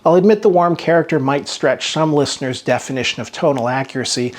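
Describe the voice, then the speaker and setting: A man narrating a scripted review as an audio equipment reviewer, measured and articulate, stressing key words. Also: a little high.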